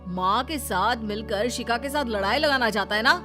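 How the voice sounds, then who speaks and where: A woman's voice: fairly high pitch, normal speed, sharp tone, faint music behind. A woman voicing a suspicious, accusing husband and elder brother in a cartoon story, brusque in manner.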